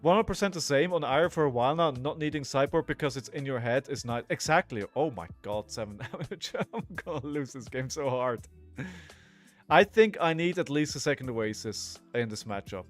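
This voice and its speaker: A man's voice: medium pitch, animated, a little fast. Man in his forties chatting on a game stream, music behind. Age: 40s. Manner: rambling, thinking aloud, frequently checking in with "right?". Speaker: live streamer playing a card game. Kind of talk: casual live gaming commentary mixed with chat to viewers.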